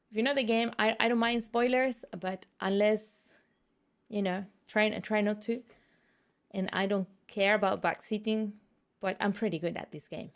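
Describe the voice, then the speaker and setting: A woman from Spain with a Spanish accent, chatting playfully with viewers during a game stream from her room, laughing often. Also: mid-range voice. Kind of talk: casual gaming stream chat.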